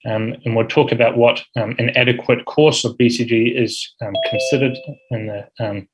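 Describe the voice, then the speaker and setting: A man's voice: medium pitch, fairly even intonation, normal speed, low-quality recording. A man in his thirties with a New Zealand accent, giving an academic medical presentation with frequent ums and uhs. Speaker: urology fellow giving grand rounds talk.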